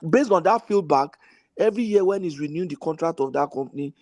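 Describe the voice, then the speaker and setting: A man's voice: on the high side, animated, fast talker, darkish tone, no room sound. Man explaining, dry close sound. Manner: explanatory, pushes to finish his point. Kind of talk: heated group discussion.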